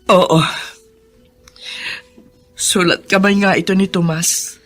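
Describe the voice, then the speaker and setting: A troubled middle-aged mother played by an actress in a Filipino radio drama. She speaks in a medium-pitched, earnest and dramatic voice, with faint music underneath. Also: fairly even intonation, slow, lightly acted. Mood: moved, certain.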